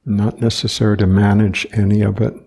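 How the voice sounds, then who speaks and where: A man leading a guided meditation as a teacher, speaking gently and without hurry, leaving long pauses between short phrases. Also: fairly low pitch, flat delivery.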